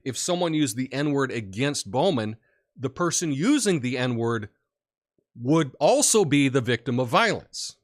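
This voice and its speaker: A man with a big booming voice hosts a commentary show and gives his opinions at an unhurried pace, with plenty of fillers and restarts. His pitch rises and falls in wide, lively swings as he makes his points. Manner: opinionated, rambling with many fillers. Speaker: podcast host. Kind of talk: casual political commentary.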